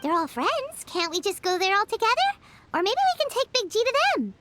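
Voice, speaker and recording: A woman's voice: sing-song, a little fast, music in the background. A woman voicing a tiny, cheeky, childlike female fairy companion who talks about herself in the third person. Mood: chirpy. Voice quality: high squeaky childlike voice. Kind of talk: video game voice acting.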